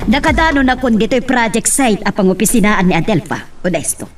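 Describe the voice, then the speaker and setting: A woman acting a hostile, protective, sharp-tongued older mother in an Ilocano audio drama. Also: a little high, animated, a little fast, music in the background, natural voice. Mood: scornful. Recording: voice distant from the microphone.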